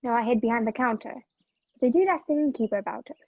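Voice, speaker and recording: A woman acting a feisty, sharp-tongued young woman who reluctantly helps a fugitive, in a radio play over a video call. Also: a little high, quick pace, dry recording. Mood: hesitant, confiding. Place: video call, dry room. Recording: muffled video-call audio.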